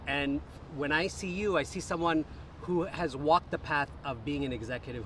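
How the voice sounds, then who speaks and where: A man's voice: medium pitch, slight background noise. A young man hosting a podcast, speaking in a loose, admiring way, full of "you know" and "uh" as he thanks his guest. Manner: warm, admiring, loose with fillers. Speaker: podcast host. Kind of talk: casual interview conversation.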